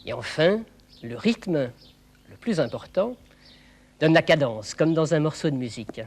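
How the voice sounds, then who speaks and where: Male kids' show presenter, fairly high voice, clear didactic explanations. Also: big pitch swings, a little slow. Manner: didactic, clearly phrased with rhetorical questions.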